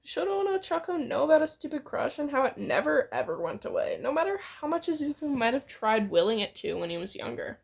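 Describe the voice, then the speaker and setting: A woman's voice: high-pitched, big pitch swings, fast, creaky, few pauses. A woman reading fan fiction aloud in an informal, playful way, with bits of banter.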